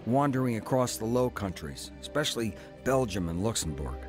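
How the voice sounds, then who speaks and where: A man's voice: fairly low pitch, big pitch swings, brisk, music in the background. A middle-aged man telling his own story in earnest first-person narration for a radio drama. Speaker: narrator and lead.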